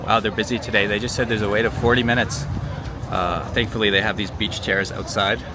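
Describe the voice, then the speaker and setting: A man's voice: on the low side, steady tone, fairly quick, background noise. A man from Canada talking casually and cheerfully to the camera as a travel vlogger, laughing often. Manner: chatty and upbeat, laughs often. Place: outdoors on a city street.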